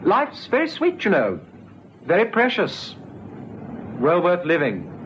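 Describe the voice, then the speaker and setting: An actor playing a gentle, patient police constable in an old radio drama, chatty and persuasive. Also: male voice, high voice, huge pitch swings, takes it slowly, full character voice. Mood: coaxing, earnest. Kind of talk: 1970s radio drama acting. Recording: muffled old radio recording, faint noise.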